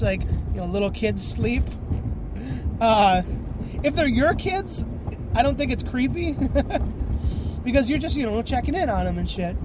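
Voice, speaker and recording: A man with a stuffy, congested voice hosting a rambling solo podcast, often clearing his throat and sniffing, full of fillers and restarts. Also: extremely high pitch, background noise. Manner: rambling, many fillers and self-corrections. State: tired. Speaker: podcast host.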